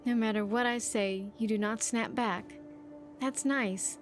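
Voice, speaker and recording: A woman's voice: on the high side, steady tone, a little slow, faint music behind, natural voice. A woman voicing an earnest, grateful young survivor in a video game, chatty and sincere with slightly stilted phrasing. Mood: earnest, hopeful. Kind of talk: video game character dialogue.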